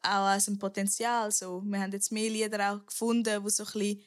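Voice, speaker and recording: A woman's voice: mid-range voice, flat delivery, fast talker. A young woman, an upbeat guest singer chatting casually in a podcast. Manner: enthusiastic, upbeat.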